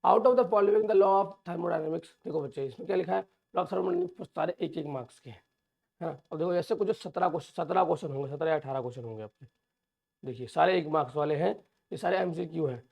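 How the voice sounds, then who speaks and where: A man with a sore, hoarse throat, walking students through an exam paper and calling them children. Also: on the high side, a little fast, dull audio. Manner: addresses viewers as children, reassuring. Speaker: teacher. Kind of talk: exam overview lesson.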